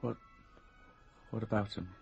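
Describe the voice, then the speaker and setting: A man's voice: on the low side, fairly even intonation, unhurried, creaky, husky, soft background music, full character voice. An actor playing a troubled, anxious young man, narrating and acting in a radio drama. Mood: desperate.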